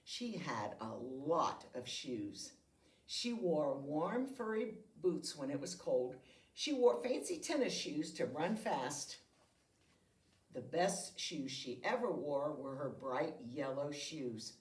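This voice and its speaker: A woman reading a children's picture book aloud with deliberate, expressive phrasing meant for young listeners. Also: low-pitched. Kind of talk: read-aloud storytelling. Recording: roomy echo, distant microphone.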